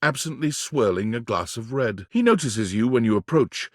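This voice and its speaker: Man as expressive, polished game narrator, dry sound. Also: mid-range voice, normal speed, no echo, lightly acted. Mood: descriptive, savoring. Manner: polished, descriptive storytelling. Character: suave male second-person narrator savoring lush descriptions. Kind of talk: scripted narration for a video game.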